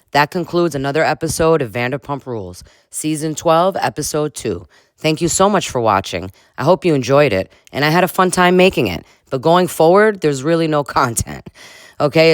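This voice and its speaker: A man's voice: on the high side, clean tone, no echo. A man hosting a comedic reaction video, trading sarcastic asides with little chuckles.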